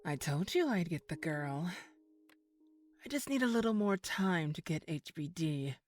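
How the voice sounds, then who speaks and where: Low, smug female villain acted by a woman. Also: animated, a little slow, soft background music. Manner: smug, sarcastic, threatening. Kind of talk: audio drama acting.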